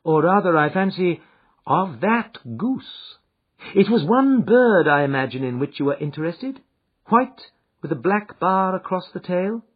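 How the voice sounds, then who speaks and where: A man voicing a calm, confident detective who speaks blandly and cheerily, heard as a dull lo-fi audiobook recording. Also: sits high, a little slow, voice pushed up. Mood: suave.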